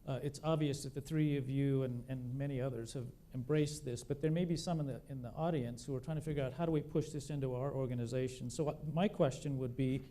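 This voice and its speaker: Guy moderating a panel, calm and measured, darkish voice. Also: mid-range voice, slight background noise. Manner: measured, posing questions.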